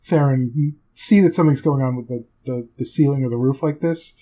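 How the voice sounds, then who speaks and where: A man's voice: mid-range voice, fairly quick, dark tone. A man narrating a tabletop roleplaying game as game master in a loose, conversational way, on a dull recording. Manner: conversational, hedging with kind of.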